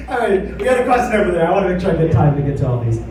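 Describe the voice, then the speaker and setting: A man's voice: sits high, very animated, very fast, distant mic. A man answers audience questions at a convention panel, rambling and making fun of himself. His voice bounces around a large echoing hall, caught by a mic well away from him. Constant room noise sits under every word. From United States. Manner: rambling, self-deprecating, apologetic. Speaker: convention panel speaker and voice actor. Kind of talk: casual panel Q&A.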